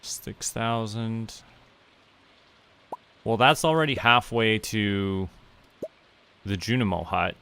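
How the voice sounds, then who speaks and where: Young man, bright tense tone, low-ish voice, relaxed game commentary, faint clicking behind. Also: slight background noise. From Canada. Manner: slow, musing, talks to himself while playing. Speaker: VTuber streamer.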